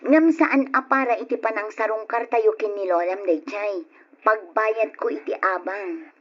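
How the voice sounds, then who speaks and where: A woman's voice: mid-range voice, unhurried, lightly acted. A woman acting a discontented, nagging young wife and mother in an Ilocano radio drama, with an Ilocano accent. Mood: patient, weary. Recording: dull, lo-fi old radio recording.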